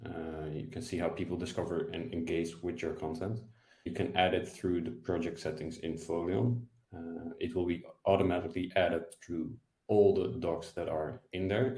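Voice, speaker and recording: Man, thirties, deep voice, explanatory webinar presenter, echoey room. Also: dark tone. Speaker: product data analyst, presenter. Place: indoor room, on a video call. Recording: echoey room over video call.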